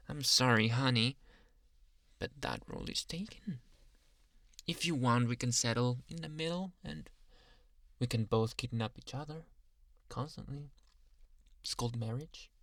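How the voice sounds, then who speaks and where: A man playing an obsessive, doting young yandere Uber driver in an intimate ASMR roleplay, coaxing and smugly pleased with himself, with halting speech and soft whispered asides. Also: medium pitch, no echo, studio quality. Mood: tender, playful.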